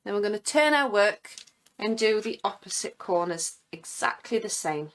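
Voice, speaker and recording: A woman's voice: medium pitch, lively intonation, normal speed. A woman presenting a step-by-step craft tutorial from her living room.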